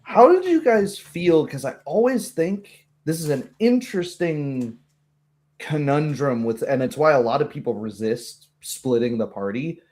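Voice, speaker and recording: A man's voice: fairly high pitch, animated, a little slow, a little mellow. A man chatting casually as a game master, reflective and given to quoting his own thoughts aloud. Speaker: game master hosting a post-game discussion. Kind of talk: casual chat.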